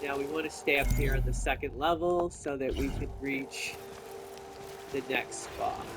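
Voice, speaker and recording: A man's voice: mid-range voice, normal speed, heavy background noise. A man giving casual gameplay commentary, thinking aloud and explaining each step to viewers. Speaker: video game player and commentator.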